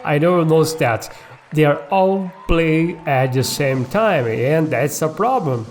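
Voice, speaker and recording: Guy doing a coding tutorial, slow casual step by step, music in the back. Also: on the high side, expressive. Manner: checks in often with tag questions like okay and all right. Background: background music.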